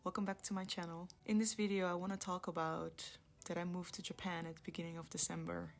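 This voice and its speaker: A woman from the Netherlands in her thirties, telling her personal story in a soft-spoken, reflective way, laughing often and sometimes trailing into a whisper. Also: fairly low pitch, level tone, quick pace. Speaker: vlogger. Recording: light background music.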